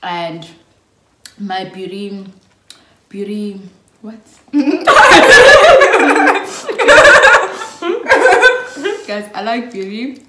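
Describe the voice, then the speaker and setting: A woman's voice: fairly high pitch, wildly swooping pitch, drawn-out words, pauses often, roomy sound, heavy background noise. A young South African woman in her twenties, casually chatting and giggling through a get-to-know-us video. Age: early 20s. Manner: giggly, chatty, laughs often. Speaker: YouTube vlogger. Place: small indoor room.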